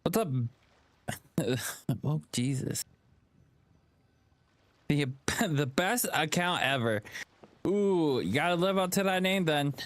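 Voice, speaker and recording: A man's voice: fairly high pitch, expressive, slow pace, long pauses, no echo. A man streaming a game live, giving casual, rambling commentary as he thinks aloud and chats.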